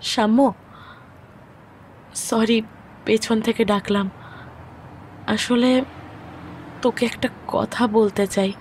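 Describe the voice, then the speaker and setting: A woman's voice: a little high, steady tone, takes it slowly, pauses often, darkish tone, a little hiss or hum. A woman playing a weary, reserved young widowed mother in an audio story, speaking in a subdued, hesitant way. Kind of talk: Bengali audio story acting.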